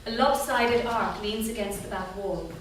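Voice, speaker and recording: A woman's voice: medium pitch, measured pace. A woman narrating stage directions at a live staged script reading. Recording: echoey theatre, far mic, noisy.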